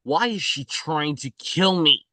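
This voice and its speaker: Guy doing an intense agent on the run interrogating someone, bright forceful voice, dry sound, script read. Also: fairly high pitch, animated, takes it slowly, no room sound, heavily acted. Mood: suspicious, demanding. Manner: demanding, interrogating. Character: intense, suspicious male rogue agent on the run. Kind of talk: screenplay table read.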